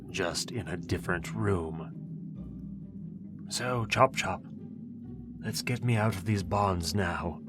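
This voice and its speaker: A man voicing an ancient, pompous necromancer in an audio roleplay, speaking in a theatrical, wheedling way. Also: deep voice. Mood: impatient, wheedling. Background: music.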